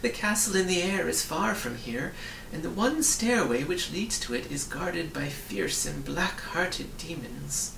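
Man putting on a high, wistful old witch. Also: animated, a little slow, creaky, hardly any pauses. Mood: sad. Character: sad, resigned elderly female witch, kindly. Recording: roomy, distant mic with faint noise.

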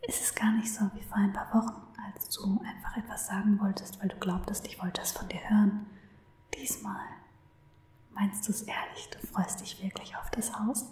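A woman playing an obsessive, lovestruck stalker in an ASMR roleplay, cooing tenderly to her captive in an unhurried, breathy whisper, close to the microphone. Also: medium pitch, flat delivery, a little mellow, echoey room, natural voice. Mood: doting, possessive.

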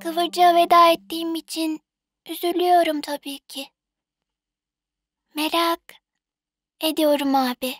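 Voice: very high voice, level tone, a little slow, pauses often, fairly bright tone, no echo, clean studio sound, faint music behind. An actor voicing a cute, curious, tender-hearted little sister in a children's cartoon dub. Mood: sad, tender.

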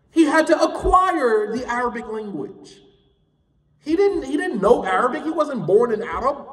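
A high-pitched man gives a fervent class lecture, hammering points home by saying them twice. His voice carries through a roomy hall with a long ring of reverb, picked up from some distance off the mic. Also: a bit bright. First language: English. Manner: emphatic, impassioned, repeats points for stress. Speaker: Islamic studies teacher.

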